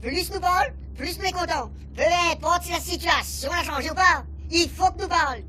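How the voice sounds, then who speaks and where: A woman's voice: extremely high pitch, normal speed, slight background noise. A woman playing a chattering alien official. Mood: excited. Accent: Réunionese Creole. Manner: rambling, excited, Creole-tinged French. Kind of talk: radio comedy serial acting.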